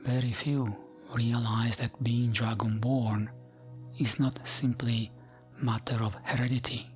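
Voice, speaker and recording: A man softly reading fantasy lore as ASMR in a breathy, often whispered voice, with a gentle, soothing manner and frequent pauses. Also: mid-range voice, little pitch movement, faint music behind. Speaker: ASMR gaming channel host.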